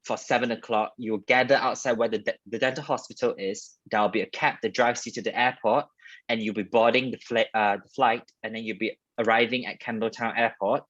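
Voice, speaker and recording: A man's voice: on the low side, fairly even intonation, a little fast, fairly bright tone, no echo, highs cut off. A young man from Malaysia with a Malaysian accent, telling personal stories in a chatty, wordy way as a guest dentist in a career talk. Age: young adult.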